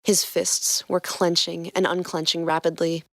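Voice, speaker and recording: A woman narrating a fan fiction story in a steady reading voice. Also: on the low side, unhurried, no room sound. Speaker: podcast co-host narrating fanfiction.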